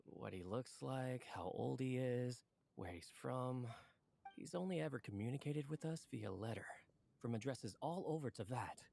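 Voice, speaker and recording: Earnest male editor, medium pitch, lively swings, creaky edges, courteous, explanatory, video game acting. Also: normal speed. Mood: explaining.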